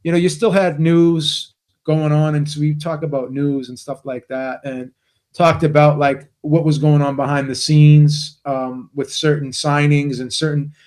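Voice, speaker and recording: A man's voice: fairly high pitch, little pitch movement, measured pace, darkish tone. A man appearing as a podcast guest, explaining things eagerly and tripping over his words in a casual chat. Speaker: guest, boxing channel co-host.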